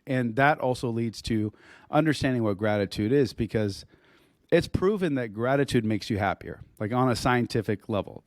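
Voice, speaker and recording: A hoarse man on a podcast, speaking at a middle pitch with his voice worn down. He rambles, restarts his sentences and clears his throat now and then. The sound is dry and close, with no room around it. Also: darkish tone, no echo. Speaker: podcast co-host. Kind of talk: casual podcast chat.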